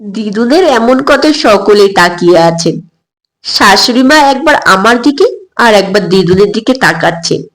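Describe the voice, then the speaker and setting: A woman reading a scripted first-person story as narrator, in an expressive storytelling style. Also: a little high, poor audio.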